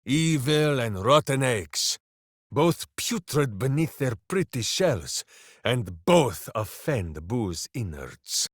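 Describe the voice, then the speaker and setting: A huge, righteous, simple-hearted warrior from a fantasy video game. His delivery is slow and bombastic, with enormous swings in pitch. He declaims quaint phrases boisterously, in a very dry, close recording. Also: very animated, slightly rough, no room sound, full character voice. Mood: grave, musing. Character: big brawny good-hearted warrior, boisterous, simple, righteous, devoted to hamster. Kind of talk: video game character voice lines.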